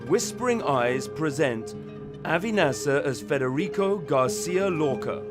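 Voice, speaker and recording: A man's voice: on the high side, lively intonation, measured pace. A man reading scripted credits as the announcer of a radio theatre play. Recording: loud guitar music behind.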